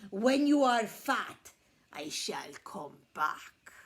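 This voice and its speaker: A woman voicing a hungry male coyote in a storybook, growling and speaking slowly and greedily. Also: mid-range voice, very animated. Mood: greedy.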